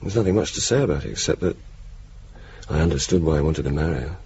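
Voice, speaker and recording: An actor playing a blunt, cynical young RAF officer with a dry, decisive manner in a radio drama. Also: male voice, a bass voice, quick pace, a little mellow, slightly rough, heavily acted. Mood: weary, reflective. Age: young adult. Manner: dry, sardonic, sweary, decisive. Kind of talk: radio drama acting, dialogue and narration. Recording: muffled, noisy old radio broadcast recording.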